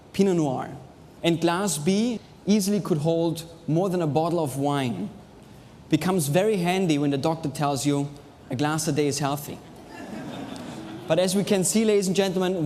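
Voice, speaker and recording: An Austrian-born man whose English has an American sound, hosting a wine tasting before a live audience in short, pausing phrases with dry jokes. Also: on the high side, a little mellow, slight background noise. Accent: American-learned English over an Austrian base. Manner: showman's pauses, chopped phrases, dry jokes. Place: large hall with a live audience. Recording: reverberant hall, faint ambience.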